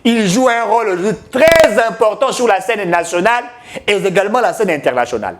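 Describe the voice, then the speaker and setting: A man's voice: high voice, expressive, a little fast. A man giving emphatic, declamatory political commentary, mixing French with Lingala.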